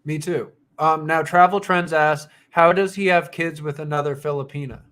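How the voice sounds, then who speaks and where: A man with a fairly high-pitched voice hosts a relaxed live stream conversation. He sounds sympathetic and curious, speaking in an everyday, informal way. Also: moderate pace. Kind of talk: casual live stream discussion.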